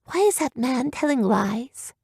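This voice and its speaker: A woman's voice: high-pitched, big pitch swings, moderate pace, darkish tone, no room sound, studio quality, pitched above the performer's own voice. A woman voicing a fiery young heroine who speaks urgently and defiantly.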